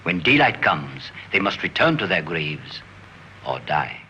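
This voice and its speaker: A man's voice: low-pitched, sing-song, a little slow, sharp tone, slight background noise, heavily acted. An actor playing a grave, scholarly man solemnly explaining the undead, from an old film clip.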